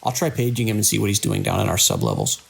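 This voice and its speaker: An actor playing a weary but measured hospital director in an audio drama, speaking with authority as he reasons things through. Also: fairly low pitch, wildly swooping pitch, creaky, breathy edge, exaggerated voice. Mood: reassuring, firm. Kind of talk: radio drama acting.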